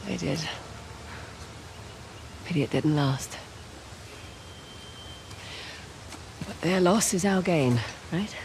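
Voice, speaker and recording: A woman playing a confident, quick-witted treasure hunter in a video game, with a wry, breezy way of talking. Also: on the low side, vocal fry, noisy background. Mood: thoughtful. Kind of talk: naturalistic video game cutscene acting.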